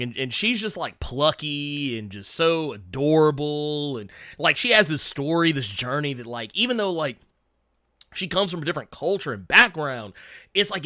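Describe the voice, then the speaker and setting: A man of thirty-nine hosting a casual chat, talking in halting bursts and repeating words as he gets going. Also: on the high side, sharp tone, no room sound, studio quality. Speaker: host.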